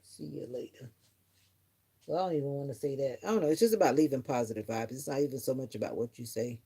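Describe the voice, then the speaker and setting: A woman's voice: very deep voice, quick pace, mellow, husky. A middle-aged woman chatting casually on a live stream, musing earnestly to her viewers in a reflective, rambling way. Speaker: livestreamer.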